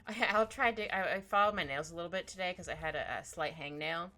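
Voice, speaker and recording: A woman's voice: lowish voice, fast talker, pushed and bright. A young woman with a slightly stuffy nose, chatting casually on a livestream while she draws, talking to her pet and reading chat aloud. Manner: chatty, giggly, talks to her cat and reads chat aloud. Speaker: streamer drawing live. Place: small room at home. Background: faint background music.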